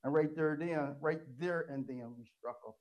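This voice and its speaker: A Caribbean man hosting an interview in a relaxed chatty way, rambling through his questions. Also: a little high, normal speed, dull audio. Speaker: interview host. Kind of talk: casual interview chat.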